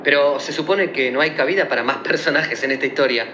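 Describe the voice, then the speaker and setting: An actor playing a courteous but blunt, slightly sardonic young gentleman in a radio play. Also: on the high side, quick pace, noisy background, close to an everyday voice. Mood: polite, blunt. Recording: roomy sound.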